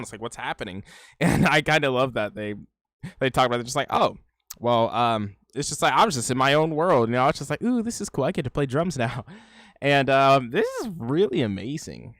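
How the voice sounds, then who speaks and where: A man of average pitch talks through a casual reaction commentary. He rambles and circles back with "like" and "you know". His voice sounds dry and close, with no room around it. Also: fairly bright tone, dry recording. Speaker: YouTube reaction host.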